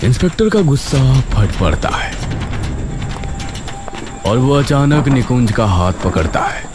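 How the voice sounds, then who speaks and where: A man narrating a horror cartoon story in a dramatic, expressive way. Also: medium pitch, measured pace, background noise. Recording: narration over loud music. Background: music.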